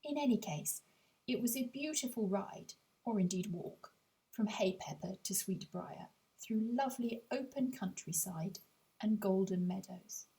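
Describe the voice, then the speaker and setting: A woman narrating a children's audiobook, telling the story expressively in a measured way with deliberate pauses. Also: medium pitch, creaky, warm tone, rough recording. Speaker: author reading their own audiobook.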